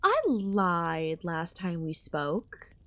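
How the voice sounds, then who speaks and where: A woman voicing an ancient, possessive alien queen in an ASMR audio roleplay, speaking with soft, teasing menace and drawn-out pauses. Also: lowish voice, big pitch swings, heavily acted. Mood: solemn, regal. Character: ancient yandere alien queen, last of her kind, condescendingly affectionate.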